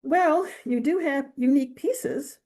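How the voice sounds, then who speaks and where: A woman voicing an educated, candid, gently wry woman guardian in a novel reading. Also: sits high, measured pace, pitched above the performer's own voice. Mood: light, wry.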